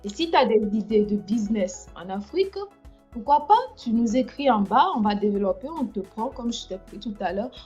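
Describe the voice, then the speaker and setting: A woman's voice: mid-range voice, lively intonation, a little fast, darkish tone, dull audio, soft background music. A woman hosting a casual livestream chat, speaking hesitantly with many fillers and restarts. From France. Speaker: livestream host.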